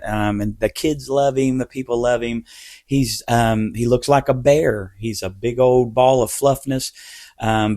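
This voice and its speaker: A man's voice: mid-range voice, no echo. Man chatting fondly with lots of "um"s. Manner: fond, rambling with many fillers. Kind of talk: interview clip.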